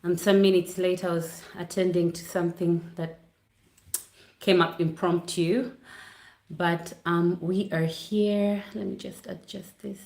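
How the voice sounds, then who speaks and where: A woman from Uganda talks casually to her livestream viewers from her living room. Her voice sits fairly low and bounces around an echoey room. She sounds chatty and apologetic, easily sidetracked. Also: level tone, measured pace, creaky, darkish tone. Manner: chatty, apologetic, distracted by lighting. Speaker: vlogger hosting a livestream. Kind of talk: casual chat to a live audience. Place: home living room near a Christmas tree. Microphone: computer setup for a livestream.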